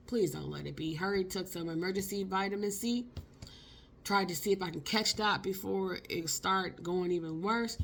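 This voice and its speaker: A woman, hoarse from a cold, telling a casual, slangy story for her vlog. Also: on the low side, slight background noise. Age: 50s. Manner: chatty, expressive, slangy. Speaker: vlogger.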